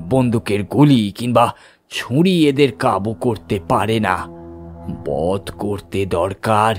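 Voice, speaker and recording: A man playing a grave village priest in an audio horror story, speaking in a measured, explanatory way. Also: medium pitch, lightly acted. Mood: grave, emphatic. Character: solemn village priest warning of a bone-eating demon.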